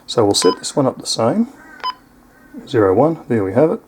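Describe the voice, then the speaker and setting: A man's voice: medium pitch, sing-song, moderate pace, background noise. A man with an Australian accent giving a casual, unscripted product demonstration in an easygoing, explanatory way. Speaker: product reviewer.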